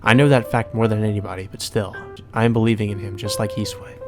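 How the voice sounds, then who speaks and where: A man voicing a composed, aloof young heiress in a measured, formal, reserved way. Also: lowish voice, fairly even intonation, faint music behind, lightly acted. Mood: wistful.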